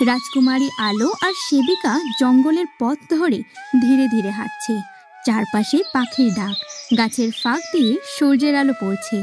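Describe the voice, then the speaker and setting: Female storybook narrator: fairly high voice, dramatic scripted read, clear music bed underneath. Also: normal speed.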